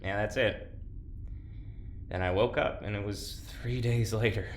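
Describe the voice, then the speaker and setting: A weary, wry patient played by a man talks haltingly in a somewhat low voice. It is naturalistic audio drama, with a clear room echo and faint background noise. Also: fairly even intonation, natural voice. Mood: wry, explaining.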